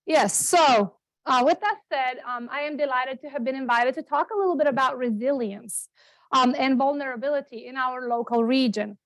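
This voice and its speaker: A middle-aged woman from Romania gives a talk at a standing microphone. Her voice sits a little high, and she talks chattily, correcting herself with lots of ums and repeats. The sound is dry and close. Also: moderate pace, dry recording, poor audio. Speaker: guest speaker, geography professor. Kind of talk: public lecture. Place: meeting room, also streamed on Zoom. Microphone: standing microphone, not held.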